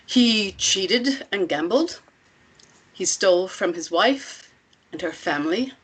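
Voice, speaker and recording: Woman acting a measured, self-assured older matriarch. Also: mid-range voice, lively intonation, a bit bright, breathy edge, full character voice, poor audio. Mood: grave, reflective. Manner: measured, deliberate, rhetorical questioning. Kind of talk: dramatic table read of a script.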